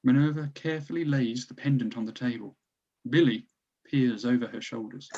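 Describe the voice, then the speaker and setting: A man's voice: medium pitch, steady tone, normal speed, mellow, husky, muffled recording. A man reading stage directions as scripted narration for a screenplay table read.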